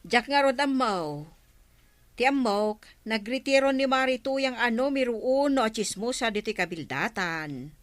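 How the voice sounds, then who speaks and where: Fairly high-voiced, bossy village councilwoman. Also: animated, measured pace, fairly bright tone, dry recording. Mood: inquisitive. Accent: Ilocano. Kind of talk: Ilocano radio comedy drama acting.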